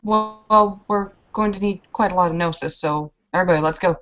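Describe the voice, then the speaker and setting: A woman speaking in character in a tabletop roleplaying game, with deliberate, affectedly humble phrasing, on dull lo-fi audio. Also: lowish voice, fairly bright tone, faint music behind. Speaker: tabletop role-player.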